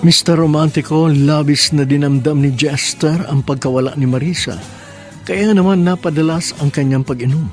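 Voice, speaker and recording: A man's voice: on the high side, steady tone, normal speed, a little mellow, faint music behind. A man with a Filipino accent giving sentimental scripted narration for a radio drama.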